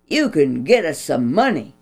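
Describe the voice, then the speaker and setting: Lady putting on a rough gang bully, loud mocking taunts, some music behind. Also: sits low, big pitch swings, normal speed, exaggerated voice. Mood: jeering. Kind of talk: storybook reading.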